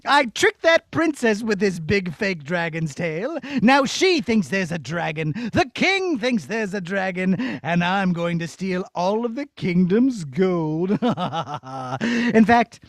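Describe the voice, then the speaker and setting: A scheming male villain from a children's puppet show, acted out by a puppeteer. The voice drops low and gloats theatrically, taking its time and relishing being bad. It is recorded dry and close. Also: big pitch swings, takes it slowly, no room sound. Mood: smug, gloating.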